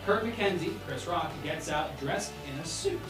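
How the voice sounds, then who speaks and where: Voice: mid-range voice, expressive, unhurried, a bit bright. A person reading screenplay stage directions aloud at a live table read, with casual asides. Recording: roomy, distant mic, music behind.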